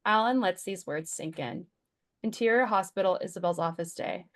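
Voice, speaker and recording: A woman's voice: fairly low pitch, normal speed. A woman narrating stage directions from a script at a table read.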